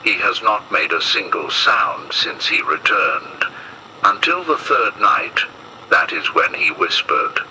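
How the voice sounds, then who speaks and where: Deep, slow, bright-edged male horror narrator. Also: very animated, slight background noise, rough recording. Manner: solemn, measured, ominous. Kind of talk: scripted horror narration as an emergency safety bulletin.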